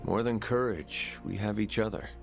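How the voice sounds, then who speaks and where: An actor playing a calm, formal young male hero who speaks in measured tones. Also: fairly low pitch, gravelly, soft background music. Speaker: player character hero. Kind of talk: video game cutscene.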